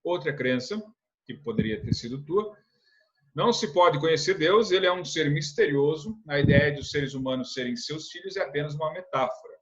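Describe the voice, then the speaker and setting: A man's voice: on the high side, expressive, normal speed, dull audio. Earnest man teaching a church class. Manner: earnest, rhetorical questions with frequent "né?". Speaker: religious instructor.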